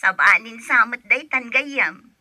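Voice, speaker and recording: A woman playing an excitable friend on a call with a nanny, exclaiming and gossipy, in a radio drama. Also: a little high, dry recording, studio quality, heavily acted. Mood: alarmed. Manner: exclaiming, gossipy, quick to alarm.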